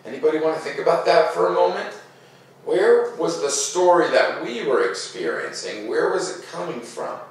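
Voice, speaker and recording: Expressive man reading aloud, very echoey room. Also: a little high, normal speed. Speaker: read-aloud host. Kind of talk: storybook read-aloud with casual commentary for children. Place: living room, seated in armchair by fireplace. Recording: roomy echo, distant microphone.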